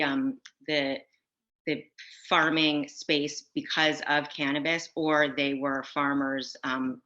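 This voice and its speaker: A woman on a video-call panel, answering in a halting, casual way with many restarts and fillers. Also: very deep voice, bright, forceful tone. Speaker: brand founder on a panel. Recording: dull lo-fi audio.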